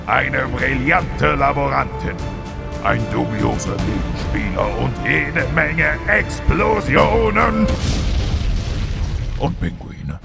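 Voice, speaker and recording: An actor playing a grandly dramatic trailer announcer, deadpan and mock-epic, for a comic audio drama. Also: male voice, mid-range voice, creaky, full character voice. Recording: loud music under voice.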